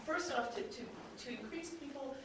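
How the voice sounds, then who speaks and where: A woman's voice: high-pitched, moderate pace. Chatty woman panelist in a very echoey room. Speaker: audio drama producer on a panel. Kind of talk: informal panel talk. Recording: echoey room, distant mic, faint noise.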